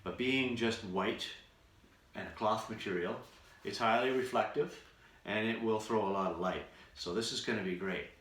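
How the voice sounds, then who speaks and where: A man giving a casual unboxing commentary to camera at home, speaking in deliberate, piecemeal phrases. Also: fairly low pitch, a bit bright. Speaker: vlogger, radio show performer. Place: room in his house. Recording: roomy echo, distant mic, faint noise.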